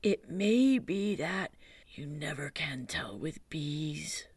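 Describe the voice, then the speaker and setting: A storybook reader voicing a gentle, slow-witted toy bear who talks in a soft, breathy half-whisper, slow and thoughtful. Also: mid-range voice, expressive, no room sound. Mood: conspiratorial whisper.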